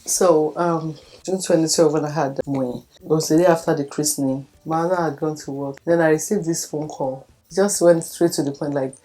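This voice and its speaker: Low mellow-voiced Nigerian woman in her fifties. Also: steady tone, normal speed, hardly any pauses, poor audio. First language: Yoruba. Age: 50s. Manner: storytelling, acting out quoted conversations. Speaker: documentary subject. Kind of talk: personal life story told to camera.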